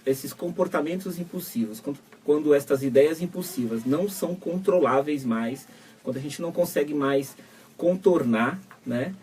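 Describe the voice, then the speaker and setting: Brazilian man co-hosting, measured and teasing. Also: medium pitch, darkish tone, distant mic, a little hiss or hum. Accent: Brazilian Portuguese. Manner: teasing, measured, asks framing questions. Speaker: co-host psychologist. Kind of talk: live web radio talk show.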